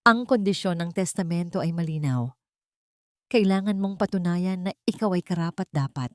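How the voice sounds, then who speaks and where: A woman's voice: on the low side, moderate pace, no echo, studio quality, natural voice. A woman voicing a scheming middle-aged man who sounds mockingly sweet in an audio drama story.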